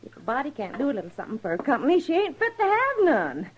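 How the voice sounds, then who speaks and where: Folksy old ranch widow, swooping voice. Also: female voice, sits high, wildly swooping pitch, fast talker, lo-fi sound. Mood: welcoming, flustered. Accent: American Western rural. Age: older. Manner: chatty, folksy, hospitable. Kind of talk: old-time radio drama acting. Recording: noisy old radio recording.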